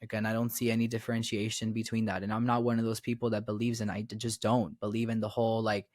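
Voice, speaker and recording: A man's voice: lowish voice, level tone, fast talker, a little mellow, dry recording. Rambling young man, dry close mic. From Florida, raised in Miami. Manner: rambling, repeats phrases, many fillers. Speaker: podcast guest. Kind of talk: casual conversation.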